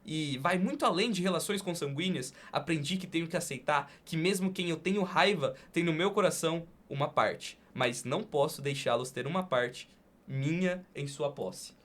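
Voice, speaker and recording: A man hosting a podcast, speaking deliberately with emphatic pauses, in a conversational and sometimes poetic style. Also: a little high, a bit bright. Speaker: podcast host. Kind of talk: conversational hosting and spoken-word poem recital.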